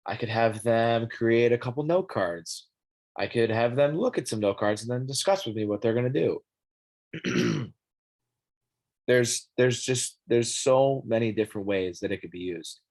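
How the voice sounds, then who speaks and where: A young man, a student teacher, presenting an app for the classroom in a halting way, his voice scratchy as he clears his throat and coughs. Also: mid-range voice, animated, darkish tone. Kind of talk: informal classroom tech presentation.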